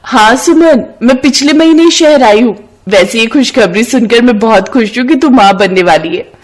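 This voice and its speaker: A woman's voice: on the high side, moderate pace, natural voice. A woman performs a greedy, bossy young city woman for a cartoon moral tale. She scolds and coaxes in a pushy, persuasive way, like a best friend with a scheme. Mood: excited. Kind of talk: cartoon moral story dialogue.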